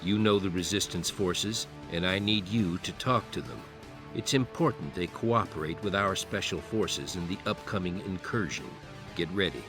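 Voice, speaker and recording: An actor playing a senior military commander in a game, giving orderly, authoritative briefings. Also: male voice, sits low, normal speed. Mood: grave, businesslike. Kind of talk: scripted military game dialogue. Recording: music behind the voice.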